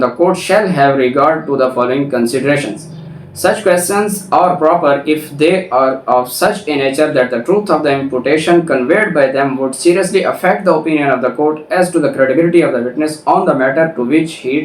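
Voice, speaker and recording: A man with a Pakistani accent giving an explanatory law lecture, didactic and given to rephrasing his points. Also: a little high. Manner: didactic, repeats and rephrases points, slow deliberate reading of quoted text. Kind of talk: explanatory lecture, reading statute then explaining it. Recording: echoey room, distant microphone.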